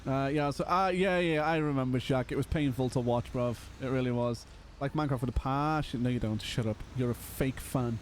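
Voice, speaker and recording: A hoarse young man, chatting casually and laughing as he streams a roleplay game. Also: medium pitch, fairly quick, a little hiss or hum. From Liverpool, England. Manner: chatty, laughs often, calls people brother. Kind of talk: casual live-stream chat.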